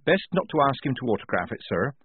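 A man's voice: medium pitch, very animated, fast, phone-quality sound, slight background noise. A man voicing a practical, level-headed young woman soldier with a dry, urgent manner. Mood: dry wit.

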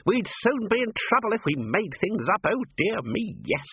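A man's voice: high-pitched, big pitch swings, moderate pace, slight background noise, voice pushed up. A man voicing a fussy, cheerful little old herald who talks in a pedantic, twittering way. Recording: tinny narrow-band, dry.

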